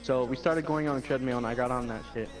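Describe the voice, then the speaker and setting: A man's voice: medium pitch, fairly even intonation, fast talker, music in the background, low-quality recording. A young man casually telling anecdotes to a friend, rambling, slangy and full of swearing. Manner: slangy, profane, loose and rambling.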